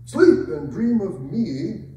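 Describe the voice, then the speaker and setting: A man's voice: high voice, sing-song, slow pace, warm tone, heavily acted. Man acting a sardonic king, very echoey. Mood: mocking. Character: goading, smug, sardonic medieval king and husband. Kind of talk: dramatic staged reading of a classic play. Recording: very echoey room, distant microphone.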